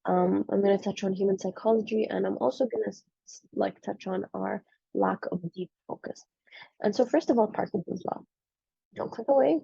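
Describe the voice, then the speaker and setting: A young woman in her twenties talks through a presentation in a casual, frank way, poking fun at herself. Her voice is deep in vocal fry and mellow, a little husky from the tail end of a flu. The recording is dry and dull. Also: moderate pace, no echo. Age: 20s. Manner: conversational, frank, self-deprecating. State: recovering from flu, voice slightly off. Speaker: medical student presenting a talk.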